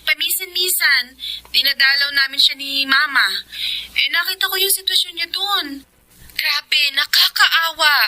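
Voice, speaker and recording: A woman's voice: sits high, unhurried, bright, forceful tone, no echo, full character voice, rough recording. A woman playing a sympathetic, talkative older cousin in a Filipino radio drama, earnest as she explains things. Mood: pitying.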